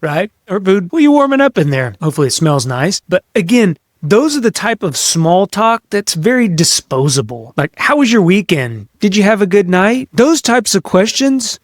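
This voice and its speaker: A man hosting an instructional podcast as a coach, speaking conversationally and emphatically with deliberate pauses. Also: sits high, dry recording, studio quality. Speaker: podcast host and leadership coach. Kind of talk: instructional solo podcast talk.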